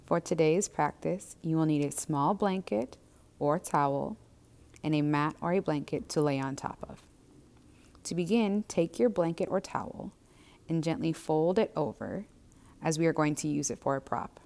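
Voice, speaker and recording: Low-voiced woman guiding gentle meditation. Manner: gentle, measured, soothing guidance. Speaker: yoga and meditation instructor. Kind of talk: guided breathwork and body scan instruction.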